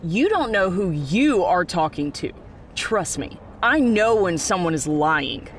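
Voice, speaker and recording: A woman's voice: fairly low pitch, big pitch swings, a little slow, a little hiss or hum. A woman playing a fierce, distrustful, determined character in an audio drama, blunt and confrontational. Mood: angry, accusing.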